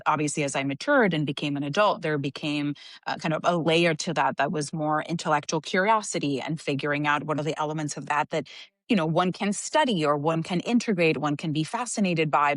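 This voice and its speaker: A woman from Cuba, a psychologist guest on a podcast, talking in a reflective and animated way with frequent laughs. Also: sits low, dry recording, studio quality. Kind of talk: casual interview talk.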